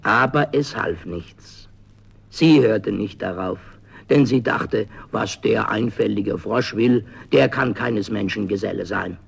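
Casting: male storybook narrator, gravelly and raspy, dramatic delivery. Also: on the low side, takes it slowly. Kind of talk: dramatic fairy-tale narration.

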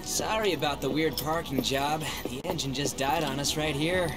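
An actor playing a brash, loyal, energetic teenage boy with slangy, casual speech in a game cutscene. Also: on the high side, normal speed, over music, natural voice. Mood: casual, urging. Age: teens. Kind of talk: animated game cutscene dialogue.

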